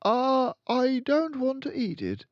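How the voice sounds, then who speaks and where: A man's voice: very high-pitched, very animated, slow pace, dry recording, lo-fi sound, pitched above the performer's own voice, heavily acted. A man voicing a timid, reluctant schoolboy in a storybook, speaking hesitantly. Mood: nervous, reluctant. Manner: hesitant, murmuring. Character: timid, reluctant schoolboy forced to eat cake.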